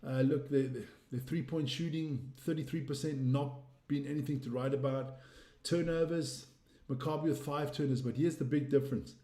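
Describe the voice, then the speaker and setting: A man's voice: medium pitch, fairly even intonation, normal speed, mellow, echoey room. A man with a South African English accent hosting a casual live stream, rambling through sports stats and reading out viewer comments. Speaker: livestream host.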